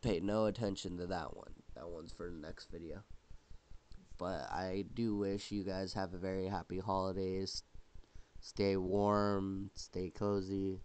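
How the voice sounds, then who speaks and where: A young man hosting a casual holiday vlog while sick with a cold, coughing now and then and rambling with halting restarts. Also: deep voice, level tone, a little mellow. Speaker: channel host.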